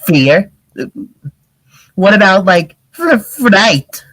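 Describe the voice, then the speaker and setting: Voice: mid-range voice, very animated, very slow, fairly bright tone, no echo, pitched above the performer's own voice, full character voice. A reader voicing a goofy, easily frightened young male slacker who stammers and says "like" a lot. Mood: frightened, shocked. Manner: stammering, peppers speech with "like".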